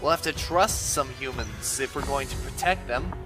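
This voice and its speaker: A man's voice: mid-range voice, normal speed, over music, natural voice. A man voicing an earnest, youthful heroic robot with plain-spoken delivery in a comic dub. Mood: earnest, concerned. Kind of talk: dramatic comic-dub voice acting.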